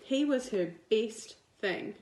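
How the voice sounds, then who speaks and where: A woman, a teacher reading a picture book aloud to young children in an expressive, deliberate way. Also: fairly high pitch. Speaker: primary school teacher. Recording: roomy indoor echo.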